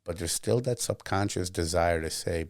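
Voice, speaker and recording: A man's voice: deep voice, normal speed, no echo, clean studio sound. A man hosting a podcast, talking thoughtfully in casual conversation and often searching for words. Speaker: podcast host.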